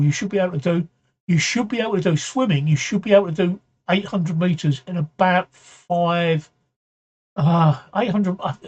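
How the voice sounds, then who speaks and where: Male livestream host, husky and going hoarse, halting casual chat with frequent throat clearing. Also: on the high side, darkish tone. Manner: halting, repeats words, often clears throat. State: voice getting hoarse. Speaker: livestream talk show host. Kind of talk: casual livestream chat answering viewer comments.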